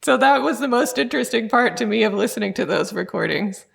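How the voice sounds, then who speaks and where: Creaky-voiced woman interviewer. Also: on the high side, lively intonation, quick pace. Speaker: interviewer and music researcher. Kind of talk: conversational interview with anecdotes.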